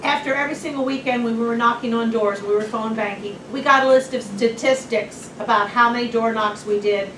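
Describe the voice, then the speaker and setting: A woman in the audience speaks up emphatically, a touch high in pitch. She is heard from far off in an echoey room. Faint room noise is audible. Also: a little fast, few pauses. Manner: emphatic, outspoken. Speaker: audience member.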